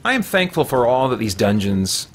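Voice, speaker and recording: A man in his forties giving casual livestream game commentary, halting as he thinks aloud. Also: medium pitch, sing-song, slight background noise. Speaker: video game streamer and podcast host. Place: small room at home, indoors.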